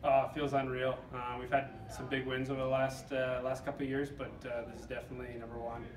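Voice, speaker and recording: A man's voice: medium pitch, level tone, fast talker. A young hockey captain in his twenties giving a plain, matter-of-fact postgame interview with many fillers, recorded in an indoor press room on a distant camera mic. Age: 20s. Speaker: team captain, hockey player. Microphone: far camera mic. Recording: echoey room, off-mic, crowd behind.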